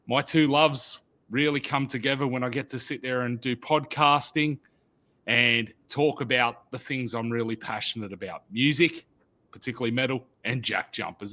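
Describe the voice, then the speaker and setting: A man in his thirties with an Australian accent, co-hosting a casual podcast chat as a loud, animated storyteller over a desk mic on a video call. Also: medium pitch. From Sydney, Australia. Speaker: podcast co-host. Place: home studio.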